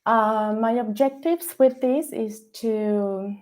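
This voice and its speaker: Woman presenting, halting delivery. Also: a little high, a little mellow. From Ecuador. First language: Spanish. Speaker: data analytics student presenting. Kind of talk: student presentation. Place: remote video call.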